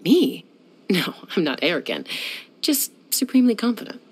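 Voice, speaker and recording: A woman's voice: medium pitch, very animated, moderate pace, a little mellow, husky. A young woman voicing a self-assured, dryly teasing gunslinger who flies and settles disputes, in video game voice lines. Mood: cool. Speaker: game character.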